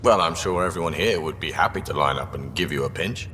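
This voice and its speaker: A man's voice: a bass voice, fast, sharp tone. An actor playing a cheerful, cocky male spaceship crewman in a video game, casual and joking. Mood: playful, scheming. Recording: long reverb, faint ambient noise.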